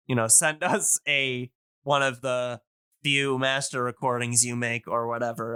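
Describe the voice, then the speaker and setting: A man with a bright, smooth voice in a dry, close recording. He talks casually, as an enthusiast explaining on a podcast. His delivery is halting and rambling, padded with fillers. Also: medium pitch, dry recording. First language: English. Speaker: podcast speaker on old-time radio.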